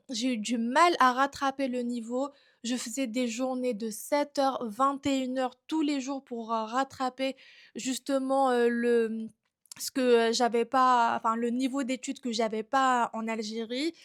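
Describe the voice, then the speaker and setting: A woman in her thirties from Algeria, a fashion creator chatting about her life in a vlog. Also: fairly high pitch. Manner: chatty, personal storytelling. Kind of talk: casual vlog introduction.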